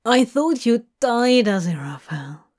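Brash, anxious male demon, read by a woman, louder and rougher than the narration, unhurried. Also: a little high, big pitch swings. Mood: emotional.

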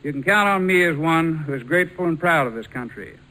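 A man's voice: on the high side, animated, fairly quick, sharp tone, rough recording. An American man giving an earnest, scripted guest speech on 1940s radio. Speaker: guest, airline founder and former military pilot.

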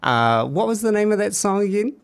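A man's voice: sits high, big pitch swings, brisk, vocal fry, clean tone. Upbeat New Zealand male podcast host. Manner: upbeat, giggly, rambling. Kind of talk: casual podcast chat. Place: home studio.

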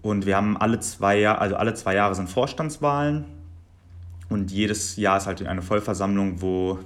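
A man in his twenties from Germany, answering interview questions in a measured, articulate way with long listing sentences. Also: lowish voice, fairly even intonation. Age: 20s. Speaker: guest.

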